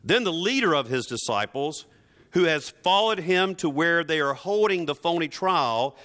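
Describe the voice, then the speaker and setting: Animated, bright-voiced male preacher, fairly high. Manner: emphatic, theatrical delivery with deliberate repetition for effect. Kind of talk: dramatic, rhetorical Palm Sunday sermon.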